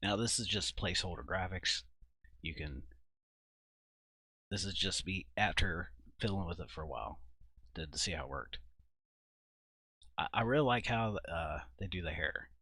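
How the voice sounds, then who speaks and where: A man giving an informal software walkthrough at his computer, thinking aloud in a halting, casual way. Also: deep voice, no room sound. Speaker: video creator and host. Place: indoors at a computer.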